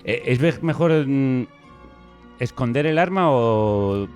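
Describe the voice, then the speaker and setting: A man's voice: mid-range voice, animated, slow pace, a little mellow, soft background music. A man hosting a casual podcast chat in a jokey, teasing way. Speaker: podcast host.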